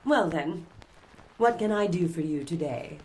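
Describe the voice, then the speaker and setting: A woman's voice: sits low, animated, measured pace, a little mellow, poor audio. A woman playing a wealthy middle-aged society mother in an audio drama, formal, genteel and condescending. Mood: cool politeness. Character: wealthy, haughty society mother, dismissive and proper.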